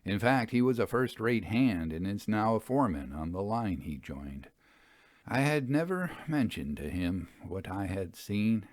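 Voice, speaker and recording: A man's voice: fairly low pitch, sing-song, a little slow, dry recording. A man reading a Victorian ghost story aloud as a literary narrator.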